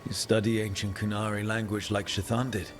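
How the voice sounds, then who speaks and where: An actor playing an earnest young adventurer asking polite, curious questions. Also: male voice, fairly low pitch, level tone, moderate pace, natural voice. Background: music.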